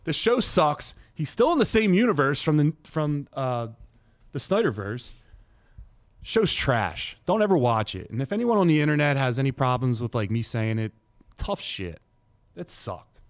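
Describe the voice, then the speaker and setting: A man's voice: on the high side, sing-song, moderate pace, no room sound. A man hosting a casual podcast, talking in a blunt, emphatic, opinionated way with plenty of swearing. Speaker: podcast host. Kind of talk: casual chat, opinionated ranting about shows.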